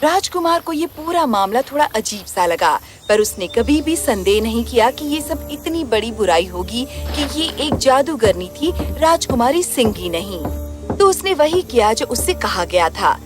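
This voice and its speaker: A woman narrates a children's fairy tale from a script. Her voice is fairly high, and music plays clearly behind her. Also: very animated, normal speed.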